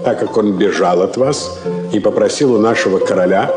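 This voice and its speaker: An actor playing a haughty European businessman in a radio play, suave and measured, with sardonic irony. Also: on the low side, natural voice. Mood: mocking. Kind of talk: Soviet radio play acting. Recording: roomy old radio drama, music behind.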